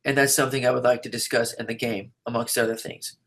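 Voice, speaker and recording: A man reading written interview answers aloud in a measured, articulate, declarative way. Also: mid-range voice, level tone, gravelly, fairly bright tone. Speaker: reader of an interviewee's typed answers.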